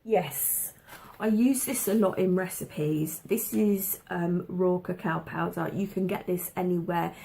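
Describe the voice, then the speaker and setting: A woman chatting casually to camera at home, with a slight cough and a dry throat, pausing often with ums and repeating words for emphasis. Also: on the low side, gravelly, dark tone. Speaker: vlogger showing a food haul.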